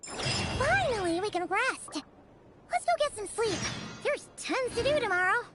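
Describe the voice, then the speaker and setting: Squeaky, very high childlike fairy companion. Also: female voice, very animated, measured pace, heavy background noise, exaggerated voice. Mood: tired, relieved. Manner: speaks of self in third person. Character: small floating fairy companion, childlike, excitable, chatty girl. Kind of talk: anime game voice acting.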